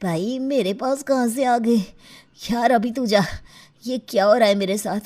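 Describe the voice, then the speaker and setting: Put-upon young cartoon husband played by a woman, colloquial and exasperated, slightly raised pitch. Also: a little slow, darkish tone, lightly acted. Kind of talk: cartoon story character acting.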